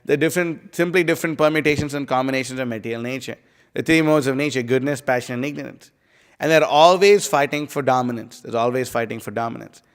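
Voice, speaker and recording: A man's voice: mid-range voice, quick pace, smooth. A man giving a casual scripture class, rambling with many fillers as he explains. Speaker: class speaker. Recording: live stream in a reverberant hall.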